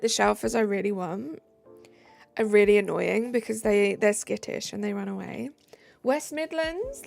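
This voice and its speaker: A woman's voice: fairly high pitch, creaky, a little mellow. A woman from the south of England with a southern English accent, live streaming and chatting casually with viewers, reading out comments between fillers. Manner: chatty, reads out and answers chat, trailing fillers. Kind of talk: casual chat, answering viewer comments while gaming. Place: home room. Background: faint background music.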